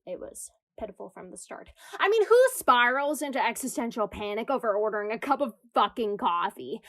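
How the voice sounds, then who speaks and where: A woman spiraling into existential dread in a radio comedy. She speaks slowly and confesses with wry, self-mocking drama, swearing as she goes. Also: medium pitch.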